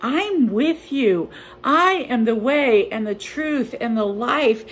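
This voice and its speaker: An older woman pastor delivers a home-recorded sermon in a slow, unhurried, conversational way. Her voice sits a little high, and a faint hum of room ambience lies behind her. She talks close to a phone camera in her living room. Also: lively intonation. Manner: slow, earnest and conversational, with fillers. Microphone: phone or webcam close up.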